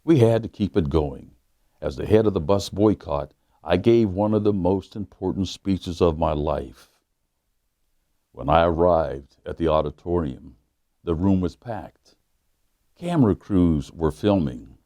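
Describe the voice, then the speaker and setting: A pastor with a very low, mellow male voice reads a children's book aloud. His storytelling is measured, and he slows down to lean on the key lines. The sound is dry and close, with no room around it. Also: animated, no room sound.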